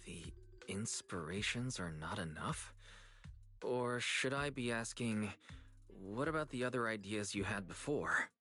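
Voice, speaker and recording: A man's voice: lowish voice, lively intonation, a little slow, pushed and bright, faint music behind. A man voicing an easygoing, patient young man who listens like a supportive friend in a video game. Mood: relaxed, curious.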